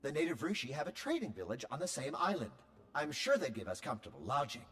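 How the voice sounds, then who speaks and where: A prim, talkative droid, cheerfully tactless and morbid. His voice is somewhat high and bounces around a lot, with a husky edge. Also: wildly swooping pitch, fast talker, a bit bright, heavily acted. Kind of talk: video game voice acting.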